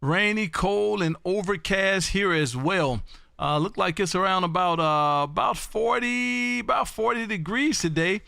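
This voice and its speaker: An American man calling out as an amateur radio live streamer, loud and showy, often repeating his phrases. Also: high voice, unhurried, vocal fry, no room sound. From Texas. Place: home radio room.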